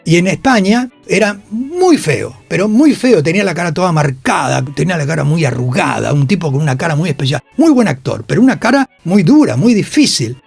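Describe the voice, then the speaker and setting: Argentine man, emphatic storyteller, fairly high voice. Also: very animated, a little fast, creaky, few pauses, husky, no room sound, soft background music. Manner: emphatic, repeats phrases, colloquial with tag questions. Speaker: interview guest, actor and producer. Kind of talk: animated conversational radio interview, telling anecdotes. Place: radio studio.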